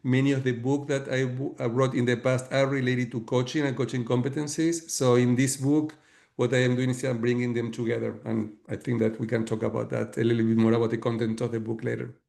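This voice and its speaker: A middle-aged man from Argentina with an Argentine Spanish accent, explaining his work as a leadership coach in a measured way, with many fillers and restarts. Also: medium pitch, flat delivery, creaky, a little mellow. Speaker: guest author and leadership coach. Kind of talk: webinar talk.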